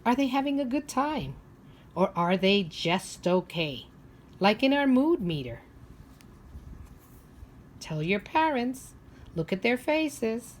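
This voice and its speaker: Lady teacher doing a kids read aloud, really slow, asks lots of questions, bit of background noise. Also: a little high. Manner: expressive, instructive, often asking questions. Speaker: preschool or primary teacher. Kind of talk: children's read-aloud with questions to young listeners.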